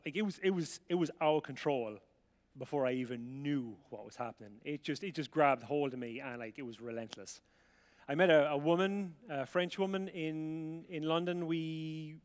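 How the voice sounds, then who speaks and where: A middle-aged Irish man from the rural midlands, telling his life story to an audience with chatty, self-mocking humour and acted-out dialogue. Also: on the high side. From Ireland. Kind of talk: storytelling talk to a live audience. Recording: reverberant hall sound.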